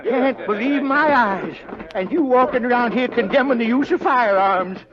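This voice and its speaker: An actor playing a fretful, boozy sheriff in a western radio drama, grumbling and folksy with comic exasperation. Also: male voice, very high voice, normal speed. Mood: flustered, blustery. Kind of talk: 1940s radio acting. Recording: dull old radio broadcast recording.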